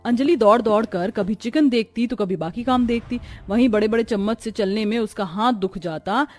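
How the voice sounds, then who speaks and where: A woman narrating a scripted cartoon story in an expressive storytelling manner. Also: a little high, moderate pace, faint music behind.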